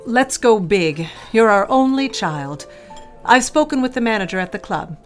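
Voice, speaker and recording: A woman's voice: fairly high pitch, lively intonation, normal speed, faint music behind, lightly acted. An actress playing a genteel, controlling middle-aged society mother in an audio drama, fussy and pointed, breezily dismissive. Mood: brisk planning. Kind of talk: scripted audio drama acting.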